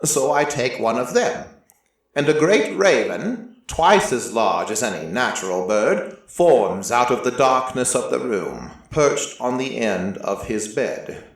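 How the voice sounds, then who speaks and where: A man narrating dramatic first-person fiction in a deliberate, theatrical storytelling manner. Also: medium pitch, expressive, creaky. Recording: very echoey, distant from mic.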